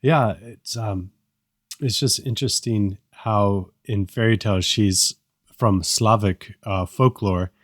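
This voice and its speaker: A man from Southern California with a fairly low voice co-hosts a review show. He explains things casually and agreeably, in a measured way with small pauses. The recording is dry, without room sound. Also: no room sound. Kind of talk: casual discussion with explanatory commentary.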